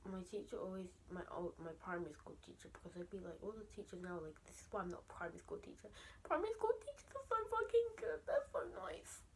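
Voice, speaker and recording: Teenage girl, low-pitched, whispery, emotional late-night vent, faint background hiss. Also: big pitch swings, fast, rough recording. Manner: soft and often whispery; raw and emotional, voice shifting in tone. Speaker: vlogger. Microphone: camera mic.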